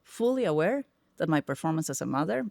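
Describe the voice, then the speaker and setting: A middle-aged woman with a Spanish accent, Spanish being her first language, tells stories with emphatic, lively energy. Her voice carries a slightly gravelly texture. The sound is dry and close. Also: low voice, a little fast, no room sound. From Peru. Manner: animated, emphatic storyteller. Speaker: podcast guest, tech executive. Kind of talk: casual interview conversation.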